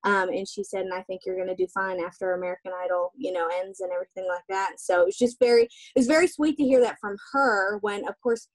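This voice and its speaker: A young woman speaks in a casual, chatty way, leaning on fillers as she answers. Her voice sits at a middle pitch, and she is heard through a webcam in a small room indoors. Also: fast, hardly any pauses, poor audio. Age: young adult. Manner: relaxed, chatty, many fillers like "like" and "um". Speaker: guest, singer. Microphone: webcam on a video call.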